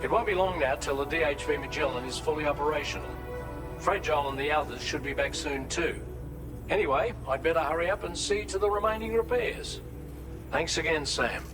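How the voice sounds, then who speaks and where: An actor playing a friendly, upbeat ship mechanic in a video game, chummy and businesslike. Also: male voice, a little high, fairly quick, over music, natural voice, poor audio. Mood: busy, grateful. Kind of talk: scripted game dialogue.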